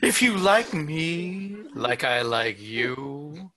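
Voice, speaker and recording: A man's voice: a little high, sing-song, pushed and bright, heavily acted. A man reading an eager, naive, lovestruck young man in a comedy table read. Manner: eager, slow, giggly.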